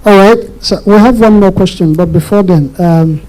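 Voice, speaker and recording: A man moderates audience questions at a live event. His voice is high for a man, with a mellow tone. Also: moderate pace, poor audio.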